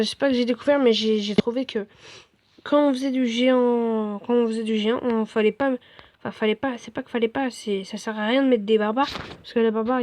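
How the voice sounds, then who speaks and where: Hoarse woman commenting gameplay. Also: on the high side. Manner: rambling, relaxed, many fillers and restarts. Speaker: gaming video host.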